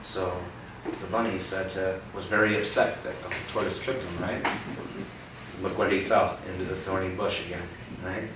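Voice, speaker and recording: A young man reading a picture book aloud to a child, pausing often to ask gentle questions about the words. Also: deep voice. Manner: expressive storybook reading broken by gentle teaching questions. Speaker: read-aloud host. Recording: roomy, distant mic with background noise.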